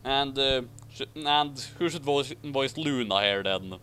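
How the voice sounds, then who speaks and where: A man's voice: medium pitch, a little slow, sharp tone, clear, resonant tone. A man reading a story aloud expressively, breaking off for sardonic asides. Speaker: reader of a story with commentary. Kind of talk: story narration with mocking asides.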